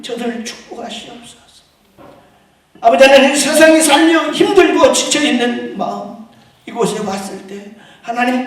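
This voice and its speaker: A man leading a fervent public prayer at a church service, calling out to God over and over and swinging from loud pleas to hushed ones. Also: very high-pitched. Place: large echoey church hall. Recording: very echoey hall, heard from far off.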